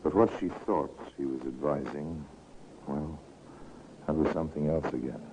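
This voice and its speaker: An actor playing a knowing, ominous narrator in an old-time radio drama, with a measured, insinuating delivery. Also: male voice, rumbling low, warm tone, a little hiss or hum. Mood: ominous, musing. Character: knowing, ominous male storyteller of a mystery tale. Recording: muffled narrow-band vintage radio recording.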